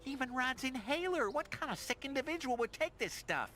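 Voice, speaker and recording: A man's voice: very high voice, expressive, brisk, bright, forceful tone, slight background noise, exaggerated voice. A man voicing a fussy, pious, folksy cartoon neighbor in a game, chipper and prim in manner. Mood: flustered.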